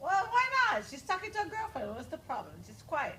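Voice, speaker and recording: A woman in a casual group chat chimes in with teasing quips. Her voice is high. Steady background noise is clearly audible behind her. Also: a little fast.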